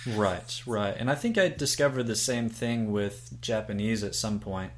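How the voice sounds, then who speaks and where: A man's voice: fairly low pitch, moderate pace, slight background noise. A man hosting an interview, easygoing and affirming with short replies before asking questions. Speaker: host, interviewer. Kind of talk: conversational interview.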